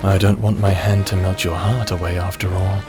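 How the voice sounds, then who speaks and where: An actor playing a vengeful, sadistic necromancer in an audio drama, taunting and gloating in a theatrical way. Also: male voice, low-pitched, flat delivery, normal speed, over music, lightly acted. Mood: quiet menace. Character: vengeful male necromancer, manic, gleefully sadistic escaped prisoner. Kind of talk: dramatic audio roleplay acting.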